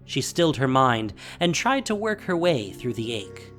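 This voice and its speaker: A woman narrating a scripted fantasy story in a theatrical, measured, dramatic style. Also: a bass voice, big pitch swings, soft background music.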